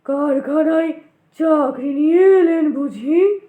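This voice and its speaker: A woman playing a mysterious, curt old ghostly man in a horror audio drama, with slow, drawn-out questions. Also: sits high, reverberant, narrow, tinny audio. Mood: ominous, probing.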